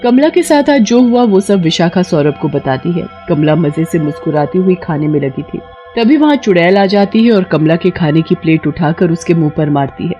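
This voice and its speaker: A woman's voice: lowish voice, lively intonation, normal speed, dark tone, dull audio, soft background music. A woman reading scripted cartoon story narration as the narrator.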